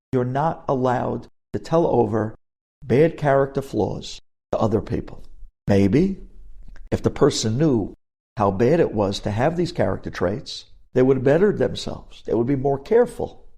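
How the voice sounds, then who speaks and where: A man's voice: medium pitch, animated, normal speed, vocal fry, dark tone, breathy edge. A man speaking as a rabbi giving a lesson on religious law, emphatic, repeating key phrases and posing rhetorical questions.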